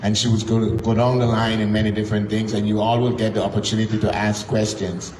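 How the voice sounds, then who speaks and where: A man's voice: lowish voice, level tone, fast talker. A man hosting a live talk night, introducing the next speaker in a rambling, informal way. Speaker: host. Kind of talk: event host introduction. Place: event room. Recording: echoey room, distant mic, noisy.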